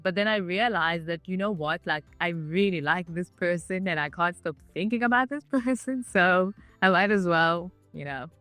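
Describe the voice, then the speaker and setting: A woman's voice: fairly low pitch, moderate pace, few pauses, fairly bright tone, no room sound, faint music behind. A woman, telling her story casually with lots of "like" fillers. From South Africa. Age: 30s. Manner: chatty, with many "like" fillers. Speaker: interviewee.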